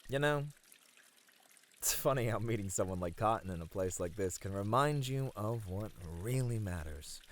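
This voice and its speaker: A man acting an easygoing, friendly manager unwinding after work in an ASMR roleplay, casual and lightly flirty. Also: on the low side. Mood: content, reflective. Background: pouring, trickling water.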